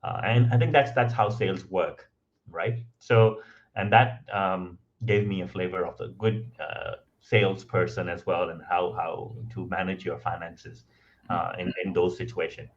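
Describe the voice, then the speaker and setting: A man speaking English as a second language, guesting on a podcast and telling his story in a relaxed, conversational way, with many ums and "right?" tags. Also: low-pitched, a little slow, lo-fi sound. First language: not English. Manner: frequent ums and restarts, tag questions of "right?".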